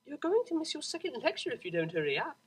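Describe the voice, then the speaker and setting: A man voicing a refined, serene young female pony cellist, speaking gently and with composure in an audiobook. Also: very high voice, wildly swooping pitch, fast talker, voice pushed up, heavily acted. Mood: gentle, apologetic.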